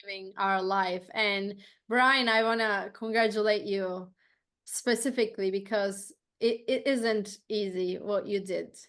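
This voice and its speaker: A woman's voice: mid-range voice, fairly even intonation, measured pace, a bit bright, clean tone. A woman from Turkey, a native Turkish speaker, hosting a podcast in English with a Turkish accent, talking earnestly and restarting her sentences as she thinks. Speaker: podcast host. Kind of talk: casual interview chat.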